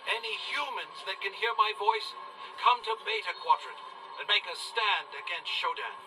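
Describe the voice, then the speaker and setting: Steadfast male resistance organizer, game broadcast; high-pitched, formal, deliberate, heard at a distance. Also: bright, forceful tone, soft background music. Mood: rallying. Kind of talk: video game emergency broadcast message.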